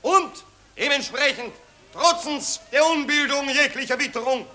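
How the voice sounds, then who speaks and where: A man's voice: very high-pitched, normal speed, bright, forceful tone. A man playing a pompous, muddled patriotic street orator in a 1940s radio play, with a Viennese accent. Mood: pompous fervour. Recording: old radio recording, crowd behind.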